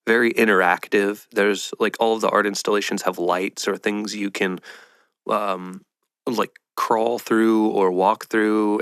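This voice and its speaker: Male podcast host, low register, congested and nasal from a cold, casual and informal, dry sound. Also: a bit bright, no echo. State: head cold. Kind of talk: casual solo podcast chat.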